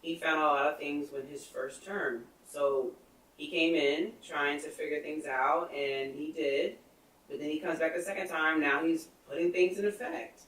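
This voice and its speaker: A woman's voice: deep voice, fairly even intonation, normal speed, a bit bright. Woman in her thirties, echoey and distant. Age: 30s. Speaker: podcast co-host. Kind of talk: casual opinion chat. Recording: echoey room, far from the mic.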